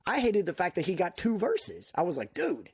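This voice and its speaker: Animated man in casual chat. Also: high-pitched, moderate pace, breathy edge, no echo, clean studio sound. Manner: animated, laughs often, many fillers like "dude". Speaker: podcast guest.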